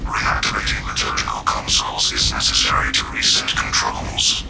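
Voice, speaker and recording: An actor playing a solemn, ancient machine spirit of a console, making flat, formal ritual announcements in a game. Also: male voice, moderate pace. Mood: impassive, formal. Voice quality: very deep, gravelly. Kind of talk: scripted game voice lines. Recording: loud music over the voice.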